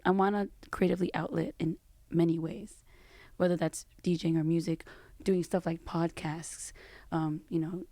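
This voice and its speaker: A woman co-hosting a podcast, talking in a soft, low voice, laid-back and slangy with a playful streak. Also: vocal fry, no echo. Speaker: podcast co-host. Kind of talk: casual podcast chat.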